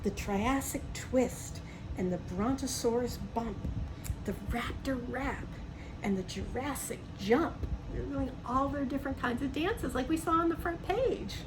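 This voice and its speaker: High-pitched woman reading to young children. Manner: asks the young listener questions, explains simply. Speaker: storybook reader for young children. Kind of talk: read-aloud picture book with chatty asides to children. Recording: clearly noisy background.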